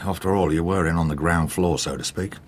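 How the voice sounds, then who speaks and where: An actor playing a matey middle-aged police inspector in a radio drama, blunt and teasing, with an English accent. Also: male voice, low voice, a little fast, slight background noise, close to an everyday voice. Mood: teasing, jovial.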